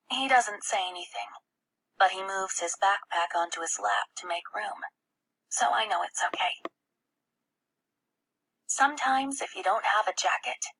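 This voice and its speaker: A woman voicing an earnest, tender young schoolgirl who narrates her own story in an expressive audiobook reading, with a breathy, husky tone and hushed passages. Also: mid-range voice, heavily acted, low-quality recording. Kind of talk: first-person audiobook narration.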